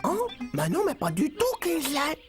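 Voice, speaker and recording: An anxious, excitable little cartoon animal. The voice is extremely high and jumps around in pitch as it stammers and exclaims, flustered. Music sits behind it. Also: unhurried, full character voice. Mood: flustered, reassuring. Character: Monk, excitable anxious small animal lemonade seller. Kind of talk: French children's cartoon dub.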